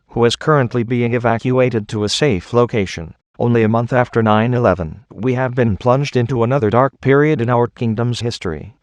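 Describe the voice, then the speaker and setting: A man's voice: mid-range voice, no echo, lightly acted. A man playing a resolute, solemn government spokesman, giving a formal, stately scripted address to the nation.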